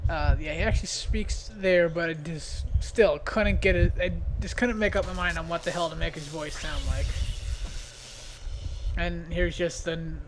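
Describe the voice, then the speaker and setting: Higher-voiced man rambling over noisy recording. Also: fairly even intonation, normal speed. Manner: hesitant, rambling, self-deprecating, mild swearing. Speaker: video creator giving commentary. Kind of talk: casual off-the-cuff commentary. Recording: noisy amateur home recording.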